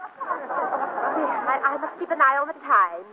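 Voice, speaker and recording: An actress playing a gushing, oblivious, overbearing older aunt in a 1940s radio comedy, effusive and fussy. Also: female voice, high voice, sing-song, unhurried, a faint crowd, a little hiss or hum. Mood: blithely demanding. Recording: tinny old radio recording.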